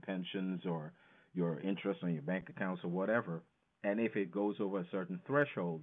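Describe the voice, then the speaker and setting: Low-voiced man on a tinny phone line. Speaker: financial educator, interview guest. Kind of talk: explanatory radio interview talk.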